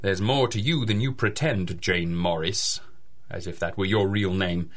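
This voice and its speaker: A man's voice: low-pitched, unhurried. A man playing a smug, threatening scientist in a 1930s-style radio drama, with a sly, insinuating delivery. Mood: menacing. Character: smug, threatening male laboratory scientist, a suspicious rival.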